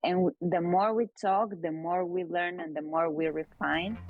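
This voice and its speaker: A woman's voice: on the low side, steady tone, normal speed, phone-quality sound, soft background music. A woman from Peru, a startup co-founder, answering interview questions earnestly and often using "like" as filler.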